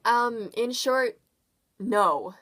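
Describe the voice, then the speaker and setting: A woman's voice: on the high side, lively intonation, extremely slow, fairly bright tone, exaggerated voice. A woman playing a polite male official answering questions in a puppet show. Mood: friendly, apologetic.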